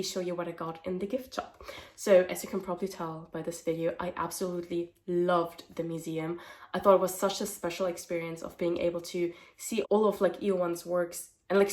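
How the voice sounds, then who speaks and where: A young woman talking casually to camera as a vlogger, her voice hoarse because she is a little sick, chatty and full of "like" fillers. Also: on the low side, darkish tone. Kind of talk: casual vlog talk to camera.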